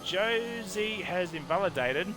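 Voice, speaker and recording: A man commentating a live online racing league, reacting with quick exclamations and chatty asides. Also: sits high, lively intonation, music in the background. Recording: race game engine noise behind voice.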